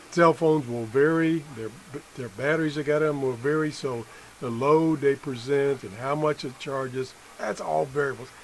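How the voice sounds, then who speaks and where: A middle-aged man giving an unscripted hands-on demonstration as an amateur tester, halting and correcting himself as he thinks aloud. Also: on the high side. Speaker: amateur product tester and video host. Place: indoor room at a workbench. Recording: noisy room with background sound.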